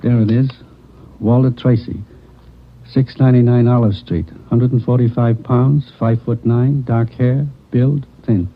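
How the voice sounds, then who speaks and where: Loan shop clerk, creaky and husky, deferential, muffled vintage radio sound. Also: male voice, medium pitch, steady tone, unhurried. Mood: apologetic. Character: apologetic, eager-to-sell male loan shop clerk. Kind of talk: 1940s radio drama character acting. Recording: dull vintage 1940s radio recording.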